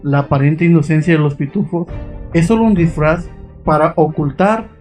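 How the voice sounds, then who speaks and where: A man delivers scripted narration in a fairly high register. His delivery is suspenseful and dramatic, addressing the listener directly over music. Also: steady tone, moderate pace, darkish tone. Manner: suspenseful, rhetorical questions to listeners.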